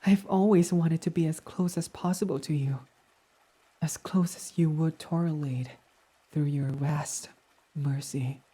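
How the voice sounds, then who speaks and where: A man voicing a devoted, submissive fox boy servant in an intimate ASMR roleplay, reverent, hesitant and breathy. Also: fairly high pitch, steady tone, unhurried. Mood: awed, yearning. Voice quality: soft, breathy. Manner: reverent, self-deprecating, hesitant, breathy half-whispers.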